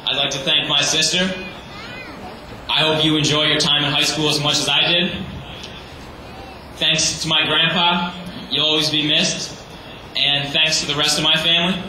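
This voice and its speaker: A teenage boy giving an earnest, slightly halting graduation speech as salutatorian. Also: a little high, level tone, pushed and bright. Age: teens. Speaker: graduating student. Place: large hall or gym. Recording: echoey hall, far from the mic, noisy.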